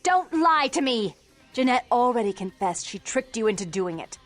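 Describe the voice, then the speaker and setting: A woman's voice: on the high side, sing-song, normal speed, faint music behind. A woman playing a stern, imperious vampire club owner in a video game, with formal, articulate speech. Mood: furious.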